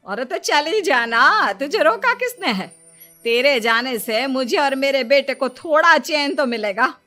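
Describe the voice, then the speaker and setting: A high, bright voice with swooping intonation gives us a nagging cartoon mother-in-law , acted by a woman. She is middle-aged and sharp-tongued, always taunting and scolding. Music plays behind her. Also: lively intonation, a little slow, exaggerated voice. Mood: quarrelsome. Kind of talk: Hindi comedy cartoon character acting.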